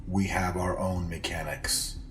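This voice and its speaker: Guy doing a deep slow alien boss, stone-faced, barely any tune in it, faint hiss behind. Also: level tone, fairly bright tone. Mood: cold. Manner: slow, heavy, clipped and impassive. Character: grim stone-faced male alien prisoner leader. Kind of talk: audiobook character voice.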